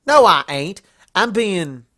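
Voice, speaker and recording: A man's voice: high voice, big pitch swings, slow, bright, forceful tone, pitched above the performer's own voice, heavily acted. A man voicing a stubborn, blunt young female farm pony in a storybook reading, with folksy country phrasing. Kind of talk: storybook fan fiction character voice.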